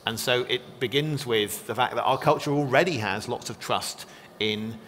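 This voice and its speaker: A man being interviewed as a guest scholar, explaining history quickly and in a friendly way. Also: medium pitch, fairly bright tone. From not from Texas. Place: church classroom or hall. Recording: long hall reverb with faint noise.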